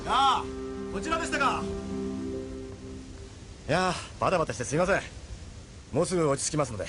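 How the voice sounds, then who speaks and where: A man's voice: sits high, huge pitch swings, brisk. A man playing a confident, commanding yet courteous ship's captain in an audio drama. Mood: polite, assured. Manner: gruff familiar orders, polite to guests. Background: faint music.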